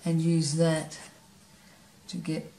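Low, gravelly woman teaching painting, relaxed, thinking aloud, echoey studio room. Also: takes it slowly, slight background noise. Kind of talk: painting demonstration narration. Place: studio table, camera overhead.

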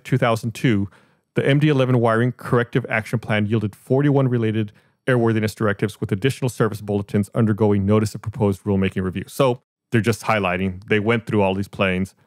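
A man's voice: lowish voice, fairly even intonation, quick pace, no echo, clean studio sound. An American man hosting a podcast, reading out and explaining a report in a matter-of-fact way. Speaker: podcast host.

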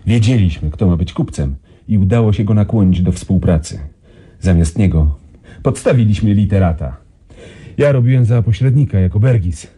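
An actor playing an undercover counterintelligence captain in a radio drama, calm and commanding with a dry irony. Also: male voice, sits low, husky. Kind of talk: 1990s radio drama acting.

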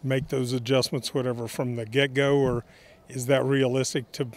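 A man's voice: mid-range voice, flat delivery, moderate pace. A man, a reporter asking long, rambling questions to a coach outdoors at a ballpark. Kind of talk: press questions.

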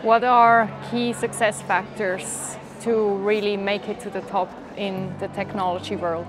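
A woman's voice: mid-range voice, level tone, a little slow. A woman in her forties asking short, direct interview questions. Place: event interview set, indoors. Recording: music bed behind voice.

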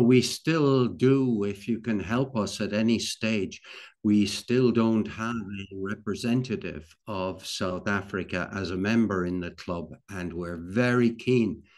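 An older man hosting an online club meeting on a video call, speaking haltingly and repeating phrases. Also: on the low side, a little mellow. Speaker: meeting host.

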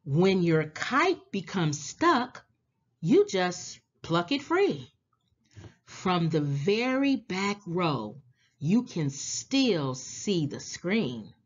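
A woman reads a picture book aloud for young children at a very slow pace. Her fairly low voice swings expressively, with drawn-out words and deliberate pauses. The recording sounds slightly dull. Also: big pitch swings, husky.

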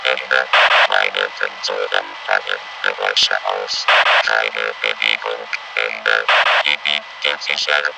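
A menacing military war machine from a video game, with an extremely deep, robotic voice. It speaks in slow, emotionless, clipped reports. Loud electronic music plays behind it. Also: male voice, fairly even intonation, creaky, full character voice, low-quality recording. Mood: clipped, mechanical. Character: cold, menacing military combat robot soldier. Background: loud synthesizer music.

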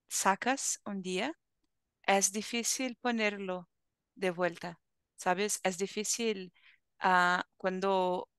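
A young woman teaching an online Spanish class, talking slowly and carefully, with encouraging remarks, over a headset on a video call. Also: medium pitch, fairly bright tone, smooth, dry recording, clean studio sound. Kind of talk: online language lesson chat.

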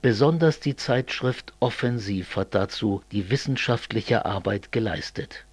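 A man playing an earnest, brooding communist in an amateur radio play, speaking in a measured way. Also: lowish voice, breathy edge, no room sound.

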